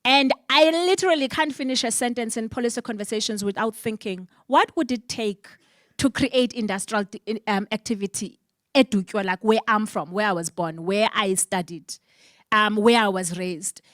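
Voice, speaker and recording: A woman's voice: medium pitch, normal speed, a bit bright, no room sound, studio quality. A middle-aged woman from the Eastern Cape with a South African accent, moderating a conference panel in an emphatic, passionate way, full of rhetorical questions. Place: symposium panel on stage.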